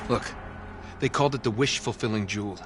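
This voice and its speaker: A man's voice: fairly low pitch, brisk, close to an everyday voice. Cocky, wisecracking American adventurer male actor, naturalistic game cutscene delivery, music underneath. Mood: scheming. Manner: sarcastic, wisecracking. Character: cocky wisecracking treasure-hunting adventurer man.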